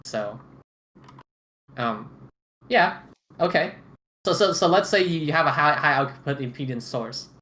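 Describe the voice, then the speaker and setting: A man's voice: a little high, expressive, measured pace, long pauses, sharp tone. A man on a podcast panel chatting casually and opinionatedly, often repeating words as he goes. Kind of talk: casual roundtable chat.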